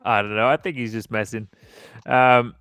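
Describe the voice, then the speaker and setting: A man in his thirties hosting a casual podcast livestream, easygoing and quick to laugh as he chats and reads out viewer comments. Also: medium pitch, fairly even intonation, a bit bright, clear, resonant tone, no room sound, soft background music. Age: 30s. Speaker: podcast host.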